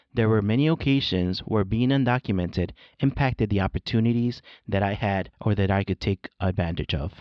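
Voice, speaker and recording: A man from Mexico whose first language is Spanish, hosting a podcast and reading a scripted personal story in a measured way. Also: lowish voice, dry recording, muffled recording. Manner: measured, read-aloud delivery. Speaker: podcast host. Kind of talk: scripted solo narration.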